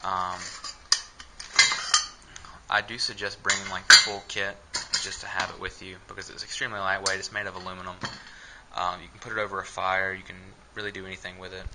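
A man's voice: sits low, level tone, a little fast, very noisy. A young man filming himself indoors with a head-mounted camera, casually walking through his camping gear with many ums and uhs. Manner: hesitant, many fillers. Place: small indoor room.

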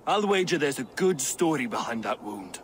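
An actor playing a middle-aged, grumbling dwarf smith in a video game, blunt and wry. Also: male voice, medium pitch, big pitch swings, moderate pace, slight background noise, natural voice. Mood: proud, wry. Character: gruff, proud, grumbling male dwarf smith. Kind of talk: cinematic video game acting.

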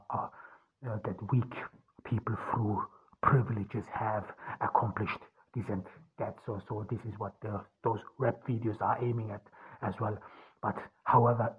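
A middle-aged man from Austria with a deeply husky, breathy voice that sits a little low. He talks through his ideas alone, haltingly, stopping and restarting often. The sound is thin and tinny, as if over a phone line. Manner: halting, many restarts and fillers. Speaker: podcast host. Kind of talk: solo spoken monologue.

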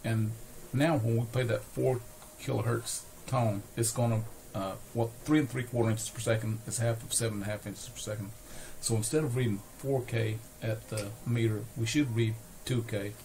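A man giving an unscripted, hands-on repair demonstration, explaining step by step with hesitant pauses. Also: mid-range voice, steady tone, darkish tone. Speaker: host, electronics repair demonstrator. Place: small cluttered indoor electronics workbench. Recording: noisy workshop recording with equipment sounds.

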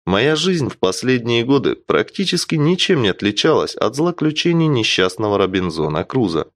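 A man's voice: medium pitch, sing-song, normal speed, no room sound, clean studio sound. A man narrating an audiobook in the first person with a wry, theatrical storytelling delivery.

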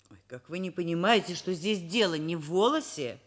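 A woman's voice: fairly low pitch, animated, fairly quick. An actress playing a kindly, wry, educated woman professor in a Soviet radio drama, speaking thoughtfully and expressively. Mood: serious, reproachful. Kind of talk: Soviet radio drama acting, first-person narration and dialogue.

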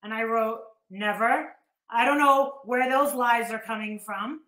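A woman's voice: a little high, measured pace, a bit bright, reverberant. A middle-aged American woman ranting casually on a livestream in a blunt, scornful, sweary manner. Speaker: YouTube host. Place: living room at home, indoors.

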